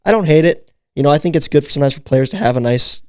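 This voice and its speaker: A man's voice: on the high side, lively intonation, fast, no room sound, studio quality. A man hosting a casual sports podcast, leading the talk and asking questions. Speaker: podcast host.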